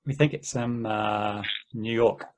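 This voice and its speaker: A man reading the part of an excitable, exasperated guy recounting mishaps in casual conversation at an amateur play table read. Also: fairly low pitch, slow. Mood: cautiously hopeful.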